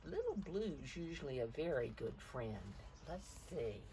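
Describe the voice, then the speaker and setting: Older female preschool storyteller, slow and animated, simple questions and explanations, distant mic with faint noise. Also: rumbling low. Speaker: children's storybook reader and teacher. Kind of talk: picture-book read-aloud for preschoolers, talking to the children.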